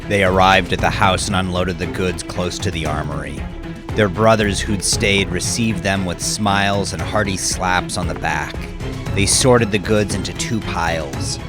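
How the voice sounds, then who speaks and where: Man, very low voice, slow dramatic story narration, deliberate phrasing, prominent music behind. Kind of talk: scripted dramatic audiobook narration. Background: background music.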